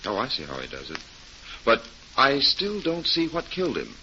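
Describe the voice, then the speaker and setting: A man's voice: mid-range voice, wildly swooping pitch, normal speed, sharp tone, full character voice. An actor playing an eager, inquisitive male announcer sidekick on a 1940s radio show. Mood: curious. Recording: dull, noisy vintage radio recording.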